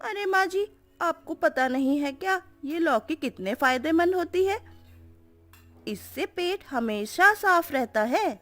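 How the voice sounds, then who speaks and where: A woman's voice: extremely high pitch, lively intonation, takes it slowly, fairly bright tone, faint music behind, voice pushed up, full character voice. A woman voicing a cheeky, stubborn young daughter-in-law in a cartoon story, talking in a sweet, girlish, wheedling way. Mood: sweetly stubborn.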